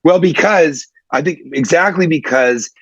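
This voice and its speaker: A man's voice: fairly high pitch, measured pace, fairly bright tone, slightly rough, no room sound. Man, enthusiastic podcast co-host, dry close sound, slightly muffled. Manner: enthusiastic, emphatic film talk. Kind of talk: casual chat.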